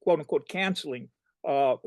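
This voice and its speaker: A man, a professor, moderating a public conversation, talking in quick asides full of qualifiers and self-corrections. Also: fairly high pitch, darkish tone, no room sound.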